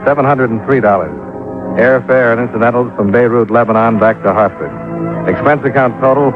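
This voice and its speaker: An actor playing a terse, wry insurance investigator in a 1950s radio drama, with a dry American delivery. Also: male voice, mid-range voice, lightly acted. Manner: clipped, dry. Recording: muffled old radio recording with loud music.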